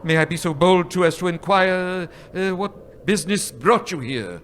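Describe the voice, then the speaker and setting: A man's voice: sits high, moderate pace, a bit bright. A man acting a nervous, sentimental old miser in a live radio play, stammering and repeating his words. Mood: nervous, pleading. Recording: live stage recording with background noise.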